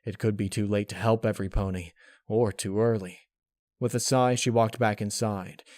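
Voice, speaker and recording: A man reading a dark fan-fiction story aloud as narrator, in a dramatic scripted style. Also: fairly low pitch, fairly even intonation, moderate pace, no echo, studio quality.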